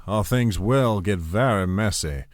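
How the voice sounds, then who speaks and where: A man's voice: on the low side, unhurried, close to an everyday voice. An actor playing a scheming, domineering father in an audio drama, controlled and condescending. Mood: cold, menacing.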